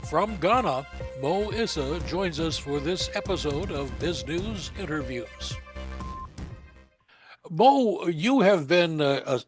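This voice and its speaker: A man hosting an interview podcast, reading a scripted intro and asking measured questions. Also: on the high side, animated, music in the background. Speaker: podcast host.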